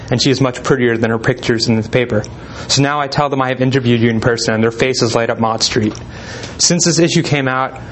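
A man giving an informal talk, reading letters aloud in a relaxed way with frequent pauses and ums. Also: medium pitch, slight background noise, rough recording. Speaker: presenter.

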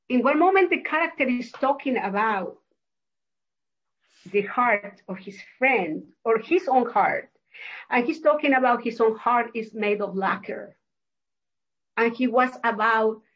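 A woman's voice: a little high, muffled recording. A woman from Mexico with a Mexican Spanish accent, a professor and author answering interview questions hesitantly, with many fillers and restarts.

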